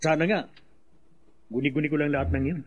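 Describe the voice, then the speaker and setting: A man's voice: on the high side, steady tone, fairly quick, muffled recording, lightly acted. An actor playing a frightened, guilt-ridden man in a Filipino radio drama. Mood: insistent, fearful.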